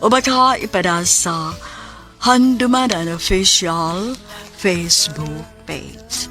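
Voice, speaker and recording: A woman radio host who introduces each episode in a slow, measured, formal style. Faint music plays beneath her voice. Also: on the low side, fairly bright tone. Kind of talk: radio program hosting.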